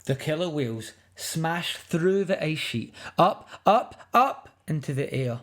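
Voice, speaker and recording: A woman reading a picture book aloud to young children in an expressive, dramatic way. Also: sits low, takes it slowly. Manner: expressive, dramatic, with emphatic sound words.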